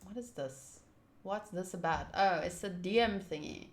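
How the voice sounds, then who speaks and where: A woman's voice: fairly low pitch, normal speed, reverberant. A young woman hosting a game livestream from a room at home, chatting casually with her viewers. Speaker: streamer, host of a game playthrough. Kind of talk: casual livestream chat and commentary.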